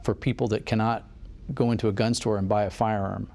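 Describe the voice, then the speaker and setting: Lower-pitched American man, official in interview. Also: little pitch movement, brisk, vocal fry, darkish tone. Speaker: federal law enforcement agent, interviewee. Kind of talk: interview answers.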